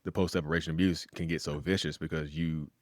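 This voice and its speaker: A man hosting a podcast in a casual conversational interview, animated, often acting out quoted voices. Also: rumbling low, fast talker, no echo, studio quality. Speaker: podcast host.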